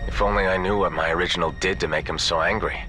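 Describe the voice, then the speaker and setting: An actor playing a puzzled, wry clone space pilot in a video game, talking in a casual, musing way. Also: male voice, deep voice, fairly even intonation, quick pace, bright, forceful tone, soft background music, low-quality recording. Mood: pensive. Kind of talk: scripted video game dialogue.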